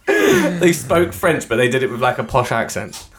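Two to four sentences: A young man from the UK talks in a relaxed podcast chat with a middle-of-the-road pitch. He is animated and breaks into laughter as he goes. His voice sits in an ordinary register and he keeps it conversational. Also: moderate pace, slight background noise. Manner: chatty, animated, bursts of laughter. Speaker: podcast co-host. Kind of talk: casual chat.

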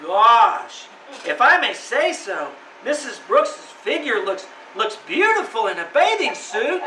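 Casting: keen, courteous teen boy for radio comedy, very high boyish squeak, recorded at a distance in an echoey room. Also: very animated, takes it slowly, pushed and bright, slight background noise, exaggerated voice. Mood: eager, boyish. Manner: earnest, boyish, exclamatory. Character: eager, polite, squeaky teenage schoolboy. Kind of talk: old-time radio comedy acting.

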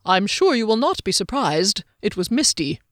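A woman's voice: medium pitch, very animated, moderate pace, no room sound, clean studio sound, natural voice. A woman voicing a smug, pompous male halfling thief with wordy, dignified speech in a fantasy storybook. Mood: dignified, boastful. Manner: pompous, verbose, formal diction.

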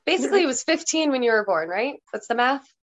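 A woman in her late twenties co-hosting a casual family podcast, bubbly and quick to laugh. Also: high-pitched, animated. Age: 20s. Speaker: podcast co-host.

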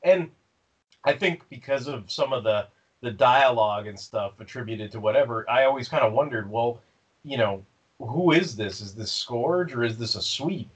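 A man with a husky, slightly raspy voice whose pitch swings widely as he talks. He co-hosts a fan podcast, stammering and restarting as he builds his points. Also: moderate pace, lo-fi sound. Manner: stammering restarts, animated storytelling. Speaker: podcast co-host. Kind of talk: casual fan discussion.

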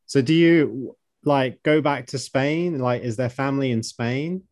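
A man's voice: medium pitch, unhurried, a little mellow, dry recording. Man hosting in a dry, close sound. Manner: friendly questioning, frequent "like" and "right?". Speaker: podcast co-host. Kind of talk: hosted interview.

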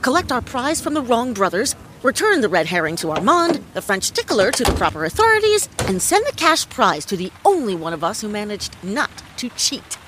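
An actress playing a cocky, quick-witted detective in a comic noir audio drama, theatrical, wry and self-satisfied. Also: female voice, fairly high pitch, sing-song, background noise. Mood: wry, assured.

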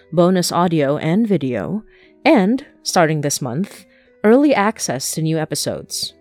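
A woman's voice: fairly low pitch, a little mellow, dry recording. A woman hosting a podcast, reading a scripted announcement to listeners. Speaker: podcast host. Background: plucked guitar music.

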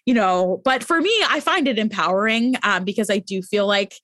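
A woman's voice: medium pitch, moderate pace, fairly bright tone, dry recording. A woman in her thirties talking as a guest over a video call, chatty and upbeat, with lots of casual fillers. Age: 30s. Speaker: guest, mental health advocate.

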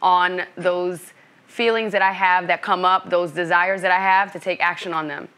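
Bright-voiced Brooklyn woman coach. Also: fairly low pitch, steady tone, normal speed, smooth. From Brooklyn, New York. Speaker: coach and author. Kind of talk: interview conversation.

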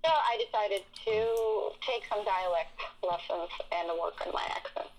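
A woman from Russia with a Russian accent, a guest telling animated stories with laughs in a casual interview. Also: mid-range voice, measured pace, poor audio. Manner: animated storytelling with frequent laughs.

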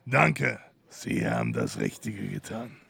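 A man playing a gruff, sarcastic police detective with a gravelly, husky voice in a German crime audio drama. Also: fairly low pitch, huge pitch swings, slow, sharp tone, dry recording, heavily acted. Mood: cynical, curt.

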